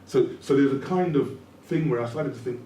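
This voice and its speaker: A man's voice: medium pitch, a little fast, dark tone, slight background noise. Reflective British-born man, roomy distant sound. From Britain. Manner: reflective, repeats words while thinking aloud. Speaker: academic host. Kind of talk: conversational discussion. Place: room at a public talk event. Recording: roomy, distant mic, faint ambience.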